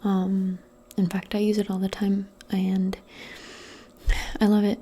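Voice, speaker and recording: Breathy whispering woman, soft ASMR. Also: mid-range voice, completely flat delivery, takes it slowly. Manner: gentle, reassuring, hesitant phrasing. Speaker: ASMR roleplay performer. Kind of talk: soft-spoken ASMR personal attention roleplay.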